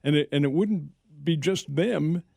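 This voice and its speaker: A man with a gravelly, fry-laden voice talks sports on the radio. He weighs each point slowly and doubles back on his words. The studio sound is dry and close. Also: a little high, warm tone, no room sound. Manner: measured, hedging, restarts his sentences. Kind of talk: casual sports talk radio. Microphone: studio microphone.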